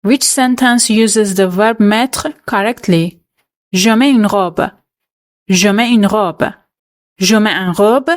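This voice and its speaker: A woman reads out quiz questions and answer options at a very slow, unhurried pace. Her voice sits at an ordinary middle pitch. She delivers each choice and explanation in a steady, scripted way, as a teacher quizzing learners. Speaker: French teacher.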